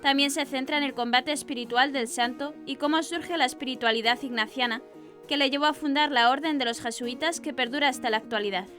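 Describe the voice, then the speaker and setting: Woman newsreader with a high voice. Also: a little fast, fairly bright tone, soft background music. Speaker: news presenter. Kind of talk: scripted news narration.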